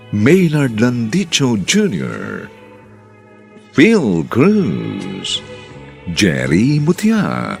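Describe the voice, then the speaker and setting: A man's voice: lowish voice, gravelly. A man with a Filipino accent announcing for a radio drama in a formal, theatrical, stately scripted style.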